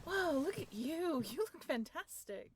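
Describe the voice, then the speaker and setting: A woman's voice: high voice, very animated, measured pace, slight background noise. A woman playing an upbeat young tour guide on a sailing ship in a scripted audio roleplay, with a chatty, playful way of talking. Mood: flustered, pleased. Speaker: role-play voyage guide.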